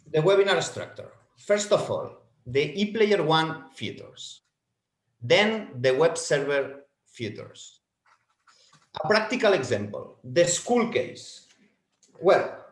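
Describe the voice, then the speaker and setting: A man whose first language is Spanish, presenting a product webinar from home in halting, self-correcting English. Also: a little high, reverberant.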